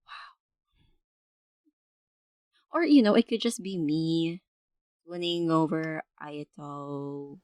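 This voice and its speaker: A woman's voice: deep voice, takes it slowly, pauses often, darkish tone. A woman giving casual reaction commentary as she plays a video game. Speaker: gaming streamer.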